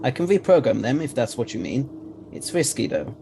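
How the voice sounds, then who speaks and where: An actor playing a clever, assured scientist adventurer in an audio drama, explaining briskly with old-fashioned turns of phrase. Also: male voice, mid-range voice, expressive, warm tone, a little hiss or hum, close to an everyday voice. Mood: confident.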